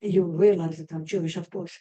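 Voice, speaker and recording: Israeli woman lecturing, mellow voice. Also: lowish voice, steady tone, fairly quick, highs cut off. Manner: explaining step by step, with frequent restarts. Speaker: astrology lecturer.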